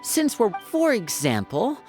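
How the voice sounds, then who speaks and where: A woman playing a suave, playful young male magician in a video game, with a smooth, teasing manner. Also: fairly high pitch, huge pitch swings, measured pace, darkish tone, faint music behind. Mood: thoughtful.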